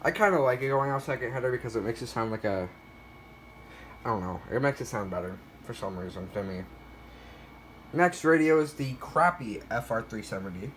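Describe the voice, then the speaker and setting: A man's voice: mid-range voice, a bit bright, a little hiss or hum, poor audio. A man talking casually and off the cuff as he shows items in a collection, a bit self-deprecating. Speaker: collector showing a weather radio collection. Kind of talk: casual unscripted show-and-tell.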